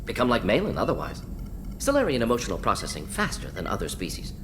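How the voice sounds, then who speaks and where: An actor voicing a brainy alien scientist in a video game, speaking in clipped, analytical, matter-of-fact phrases. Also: male voice, medium pitch, huge pitch swings, fast, background noise. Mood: brisk, matter-of-fact. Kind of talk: video game dialogue acting.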